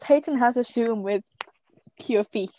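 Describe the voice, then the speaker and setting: A woman's voice: fairly high pitch, mellow, a little hiss or hum. Giggly teenage girl on a muffled line. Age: teens. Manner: giggly, teasing, quick banter. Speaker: co-host. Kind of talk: casual chat.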